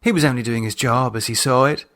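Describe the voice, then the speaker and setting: A man's voice: mid-range voice, fast talker, a bit bright, lightly acted. A man voicing a poised, haughty aristocratic woman in an audiobook, a playful cult leader with an arch, refined manner. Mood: smug. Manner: arch, self-satisfied, refined. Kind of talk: audiobook character acting.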